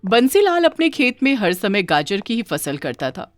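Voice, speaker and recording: A woman's voice: mid-range voice, very animated, moderate pace, no room sound, studio quality. A woman reading a scripted children's moral story as its narrator.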